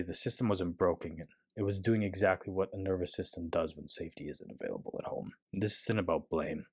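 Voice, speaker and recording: A young adult man giving an earnest, measured and reflective personal monologue as a self-help speaker. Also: deep voice, no room sound, studio quality.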